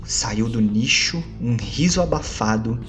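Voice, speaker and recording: A male narrator with a mid-pitched voice. He gives a dramatic gothic reading, solemn and theatrical in delivery. Quiet music runs behind him. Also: lively intonation, a little slow. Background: faint background music.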